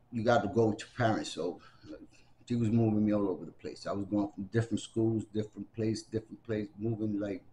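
Low-voiced man around sixty, halting and rambling. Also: dark tone, slight background noise. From Puerto Rico, raised in the Bronx. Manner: rambling, many fillers and restarts. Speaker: interviewee. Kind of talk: oral history interview. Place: library room with bookshelves.

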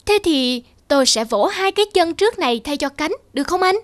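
A woman's voice: sits high, lively intonation, brisk, no room sound. A woman voicing a small, naive young turtle in a cartoon, eager, pleading and insistent.

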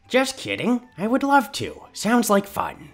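A man voicing a sneaky, sarcastic, twitchy raccoon in a husky cartoon voice. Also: high voice, wildly swooping pitch, takes it slowly, music in the background, full character voice. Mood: forced enthusiasm. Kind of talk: comic dub cartoon acting.

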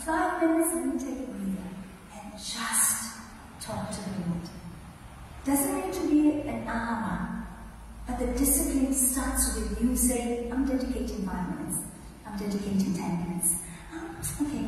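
A woman's voice: a little high, expressive, unhurried, warm tone. A woman preaching a conversational sermon at a church lectern, asking the congregation questions. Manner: conversational, rhetorical questions, emphatic. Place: large church sanctuary. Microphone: lectern mic. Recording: echoey hall from far off, faint noise.

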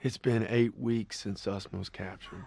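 An actor playing a sombre young American soldier narrating a war game, with a gravelly voice. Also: male voice, fairly low pitch, steady tone, measured pace, warm tone, slight background noise.

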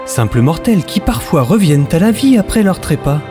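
A man playing a solemn, theatrical narrator in a science-fiction radio drama, with a grandiose, drawn-out delivery. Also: a little high, big pitch swings, music in the background. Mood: solemn, grand.

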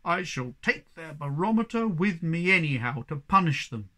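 Woman as a grumbling, self-pitying Hemulin with swooping pitch. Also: expressive, moderate pace, fairly bright tone. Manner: moaning, grumbling. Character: grumpy, self-pitying male Hemulin creature, easily alarmed.

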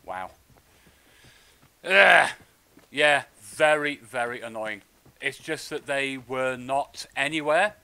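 A man's voice: medium pitch, slow, long pauses, bright, forceful tone, smooth. A man streaming a video game, commenting in short muttered remarks to himself as he plays, with game sound behind him. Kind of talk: live gameplay commentary. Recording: noticeable background noise.

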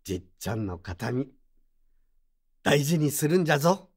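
A woman playing a gruff but kindly old craftsman with curt old-man speech in an audio drama. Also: rumbling low, sing-song, normal speed, a little mellow, full character voice. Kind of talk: Japanese audio drama acting. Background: background music.

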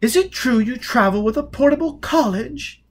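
Casting: excitable, flirtatious society lady played by a man, high, swooping delivery, storybook reading. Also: moderate pace, full character voice. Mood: eager curiosity. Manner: exclaiming, theatrical.

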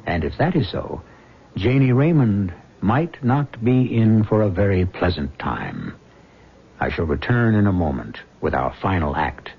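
A man's voice: low-pitched, very animated, unhurried, creaky, slightly rough. A man hosting a radio mystery drama, giving theatrical, ominous scripted narration. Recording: dull lo-fi old radio broadcast.

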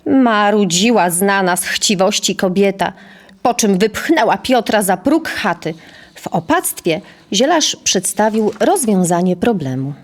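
A woman's voice: medium pitch, expressive, a little slow. A woman narrating a scripted historical tale as the storyteller of an audio drama.

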